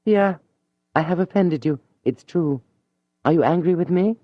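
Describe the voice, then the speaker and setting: A man doing a proud young noblewoman, her voice raised high above his own and heightened with feeling. She pleads and implores, breathless and earnest. The audio is dull and dry, like a muffled audiobook booth. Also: unhurried, no echo, lightly acted. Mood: pleading.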